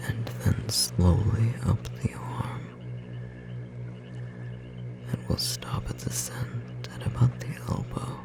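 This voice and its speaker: A performer voicing a confident, tender storm sorcerer partner in a whispered ASMR roleplay, breathy and slightly rough, guiding slowly and soothingly. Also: medium pitch. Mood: gentle reassurance. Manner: slow, soothing, intimate guiding delivery.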